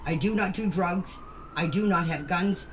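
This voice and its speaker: A woman's voice: fairly low pitch, a little slow. A woman recounting events informally, in a blunt, defensive and emphatic manner. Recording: faintly noisy voice-message recording.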